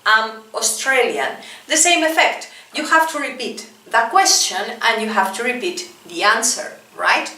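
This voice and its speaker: Woman, English teacher, slow and patient, roomy sound from a distance. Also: on the high side, expressive, bright, forceful tone. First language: Spanish. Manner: slow, patient drilling with frequent "right?" tags. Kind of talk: classroom-style English lesson with repetition drills.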